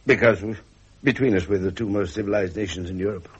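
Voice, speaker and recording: An actor playing a genial English royal heir in a radio drama, speaking with courteous, earnest eloquence. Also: male voice, on the low side, a little fast, highs cut off, a little hiss or hum, natural voice. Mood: earnest persuasion.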